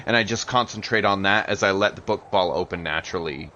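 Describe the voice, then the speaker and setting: A man's voice: sits low, quick pace, sharp tone, dull audio, a little hiss or hum. A man playing a tabletop role-playing game, chatting casually and hesitantly as he thinks aloud. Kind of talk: casual improvised play and chat.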